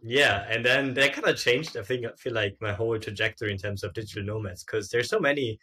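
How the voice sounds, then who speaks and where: A man's voice: mid-range voice, fast, pushed and bright. A man, telling his story casually as a podcast guest, with informal, loosely strung phrasing. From Austria. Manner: informal, frequent "like" and "sorta".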